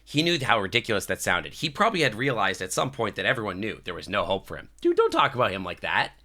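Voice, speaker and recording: A man's voice: lowish voice, huge pitch swings, fast talker, pushed and bright. A man livestreaming a game in a slangy, sweary, casual way as his voice tires. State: voice tiring, losing it. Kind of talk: casual reactions and reading game text aloud.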